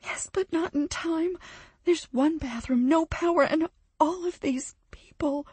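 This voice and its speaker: A woman voicing a frightened young woman trapped by a flood, her voice high, tearful and breaking into whispers. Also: flat delivery, a little slow, a little mellow, slightly rough, no room sound. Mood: distraught.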